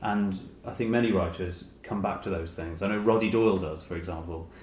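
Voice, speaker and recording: A man in his late forties speaks in a low register, feeling his way thoughtfully through his answers. He sounds like an interview guest in a reverberant room, with some noise behind him. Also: a little fast, a little mellow. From Dublin, Ireland. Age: late 40s. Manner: hesitant, thoughtful, hedging with "sort of". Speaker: interview guest, short story writer. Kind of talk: reflective conversational interview. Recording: roomy echo, noticeable background hiss.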